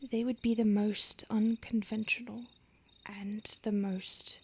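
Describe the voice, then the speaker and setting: A woman's voice: medium pitch, flat delivery, takes it slowly, a little mellow, husky. A woman voicing an ancient female vampire on the run, speaking in an urgent whisper in an audio roleplay. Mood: hushed urgent.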